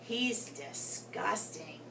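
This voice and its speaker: A woman reading a story aloud to children in an expressive way, with dramatic pauses and emphasis. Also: on the low side, gravelly. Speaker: guest story reader for children. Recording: roomy, distant mic with background noise.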